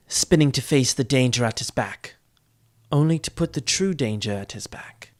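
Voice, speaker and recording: A man reading a fantasy audiobook as narrator, in a dramatic, theatrical storytelling style. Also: medium pitch.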